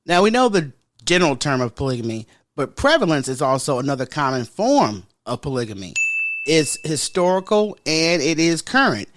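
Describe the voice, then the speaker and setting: A woman with a deep voice hosting a podcast in casual solo chat, frank and sassy, talking straight to her listeners. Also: very animated, moderate pace, background noise. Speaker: podcast host.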